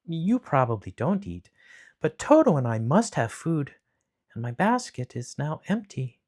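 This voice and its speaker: A man voicing a brave, practical young girl in a high, earnest voice. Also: very animated, measured pace. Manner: bright, high, earnest storybook voice.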